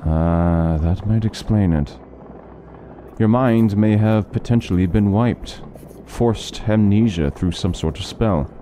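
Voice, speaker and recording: An actor playing a learned doctor who eagerly lectures on lore in an interactive fantasy story. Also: male voice, lowish voice, slow, warm tone, a little hiss or hum. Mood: inquiring. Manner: talkative, lecturing, fond of lore. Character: scholarly male doctor, middle-aged, chatty lore lover.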